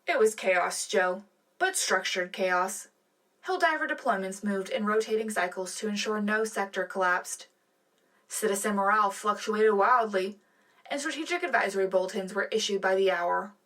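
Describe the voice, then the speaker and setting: A woman's voice: medium pitch, moderate pace, a bit bright, lightly acted. A woman playing a composed, loyal propaganda radio anchor reading a scripted, wryly upbeat sci-fi war broadcast. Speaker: radio co-host. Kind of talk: scripted in-world news broadcast.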